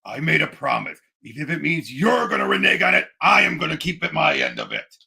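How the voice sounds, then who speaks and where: A man with a high, creaky voice chats casually as co-host of a film show. He sounds bright and sharp, and states his opinions with emphasis. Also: animated, a little fast. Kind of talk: casual chat.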